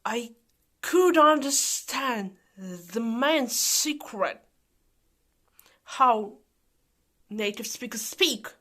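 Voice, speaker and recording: A Russian-accented woman speaks to camera in an unhurried, thoughtful way. Her voice is bright and fairly high, and she takes her time over each phrase. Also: expressive. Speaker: vlogger. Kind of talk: talking to camera.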